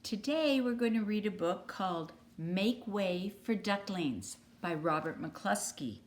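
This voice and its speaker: A woman's voice: mid-range voice, expressive, a little slow, slight background noise. A woman from the United States reading a children's picture book aloud as a storyteller. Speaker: storybook reader.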